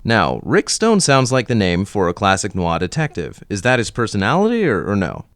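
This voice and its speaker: A man's voice: fairly low pitch, wildly swooping pitch, normal speed, dry recording. A man hosting a comic podcast interview. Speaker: podcast host.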